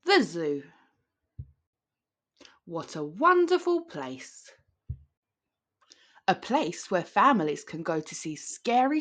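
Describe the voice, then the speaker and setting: A woman reads a picture book aloud for children. Her pitch moves widely and expressively as she narrates. She is theatrical, drawing out important words for dramatic emphasis. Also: fairly bright tone. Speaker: children's storyteller.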